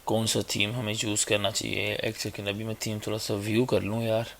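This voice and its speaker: A man with a sore, hoarse throat giving a casual, chatty screen-recorded web design tutorial on a basic mic. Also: lowish voice, completely flat delivery, a little fast, a bit bright, slight background noise. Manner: casual, chatty, fills gaps with "okay" and "theek hai". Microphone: basic mic, a better one on order.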